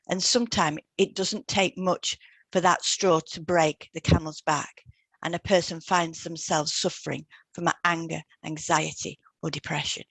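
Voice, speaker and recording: A woman with a slightly low voice reads a scripted podcast discussion. Her delivery is measured and explanatory, like someone teaching listeners step by step. The sound is dry, with hardly any room in it. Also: gravelly, a bit bright, dry recording. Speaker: podcast co-host, hypnotherapist.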